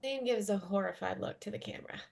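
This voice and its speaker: A woman's voice: medium pitch, fast talker, creaky, a little mellow. A woman reading script stage directions as understated narration.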